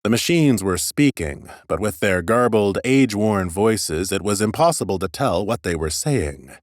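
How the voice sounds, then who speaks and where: A man reading expressive first-person scripted narration as an audiobook narrator. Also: on the low side, normal speed, no room sound, studio quality.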